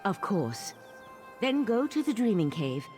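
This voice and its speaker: An actress playing a gentle, dutiful mage attendant in a fantasy video game, speaking deliberately. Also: female voice, mid-range voice, sing-song, faint music behind. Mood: attentive. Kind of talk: video game character dialogue.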